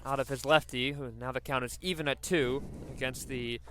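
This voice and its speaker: A man's voice: medium pitch, fairly even intonation, normal speed, pushed and bright, a little hiss or hum. A man doing live radio baseball play-by-play, describing the action and working in stats as he goes. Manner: descriptive, stat-laden delivery.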